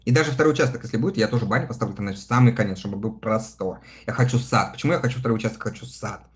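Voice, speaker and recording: A man's voice: lowish voice, rapid-fire. A man in his thirties chatting casually on a livestream, reading viewer comments aloud and answering them between laughs. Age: 30s. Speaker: live streamer reading viewer chat.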